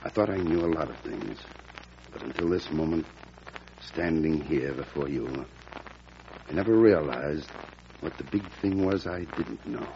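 Proud, fallen statesman, extremely deep, solemn and oratorical, 1940s radio drama with heavy surface noise. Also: lively intonation, dark tone, exaggerated voice. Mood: humbled, solemn. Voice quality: gravelly. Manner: theatrical, deliberate oratory. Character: proud, fallen male statesman turned humbled and grave. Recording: noisy, dull old radio recording.

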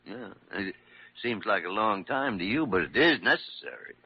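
An actor playing an older senior doctor in an old-time radio drama, crusty, explanatory and insistent. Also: male voice, lowish voice, animated, moderate pace, slightly rough. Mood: reassuring, explanatory. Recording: muffled, noisy vintage radio recording.

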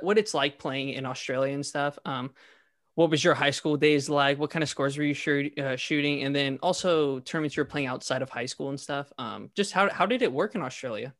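A man's voice: fairly high pitch, fairly bright tone. A man hosting a casual interview, asking friendly questions with frequent short affirmations. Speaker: interview host.

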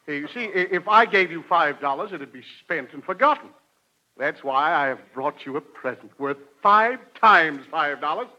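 An actor playing a pompous, wheedling, tightfisted uncle full of bluff in a 1940s radio sitcom. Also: male voice, a little high, expressive, normal speed, sharp tone. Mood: unctuous, wheedling. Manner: pompous, stammering when cornered. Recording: muffled 1940s radio recording.

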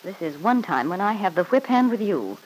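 A woman's voice: medium pitch, sing-song, fairly quick, slight background noise, natural voice, rough recording. An actress playing a smug, needling married woman in an old-time radio soap opera, with an arch, mock-sweet, taunting manner. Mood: smug, threatening.

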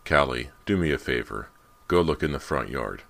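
A man's voice: very deep voice, expressive, normal speed, vocal fry, a bit bright, heavily acted. A man voicing a grumbling, impatient middle-aged father in a storybook reading. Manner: muttering, impatient.

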